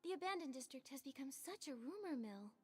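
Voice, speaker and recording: An actress playing a gentle, sympathetic young woman in a video game, speaking earnestly. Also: high-pitched, expressive, fairly quick, big-room sound. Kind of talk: video game character dialogue.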